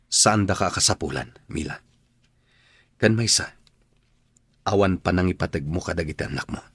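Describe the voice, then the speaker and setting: An actor playing a stern, bitter husband in an Ilocano radio drama, speaking bluntly and accusingly in a husky Ilocano-accented voice. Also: male voice, low-pitched, moderate pace, a bit bright, no echo, heavily acted. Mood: angry, bitter. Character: bitter, stern husband throwing out his estranged wife.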